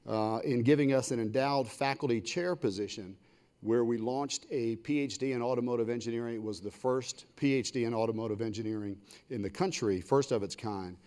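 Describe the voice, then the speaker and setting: A man's voice: medium pitch, mellow. A man with an American accent speaking as a university leader on a public panel, semi-formal and enthusiastic, in short punchy phrases.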